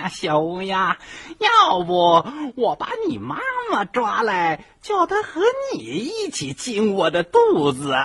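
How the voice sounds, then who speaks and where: Brief: slow, breathy, scheming fox for a kids' radio drama. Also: female voice, medium pitch, huge pitch swings, a bit bright, full character voice. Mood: gloating. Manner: mocking, cackling laughter. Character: sly greedy fox villain, gloating. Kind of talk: children's radio play acting.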